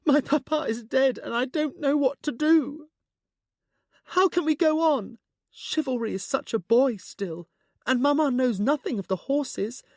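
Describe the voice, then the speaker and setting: A man doing a grieving, high-voiced young woman. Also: expressive, moderate pace, no echo, clean studio sound. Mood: distraught. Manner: high, trembling, pleading.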